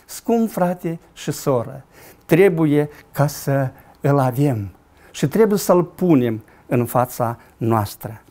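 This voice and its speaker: Older man preaching a dramatic sermon. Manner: dramatic preaching with wide swings in pitch and loudness. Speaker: pastor. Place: studio with dark backdrop, at a lectern.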